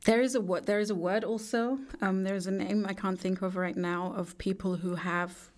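A woman, a guest speaking reflectively in a studio interview, pausing thoughtfully. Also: medium pitch, fairly even intonation, a little mellow. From England. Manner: reflective, measured, with thoughtful pauses. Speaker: artist and poet guest.

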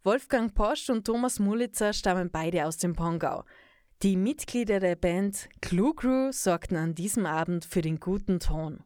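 A female reporter narrates a report from a script. Her voice sits at an average pitch for a woman. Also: expressive, measured pace, darkish tone, no echo, clean studio sound.

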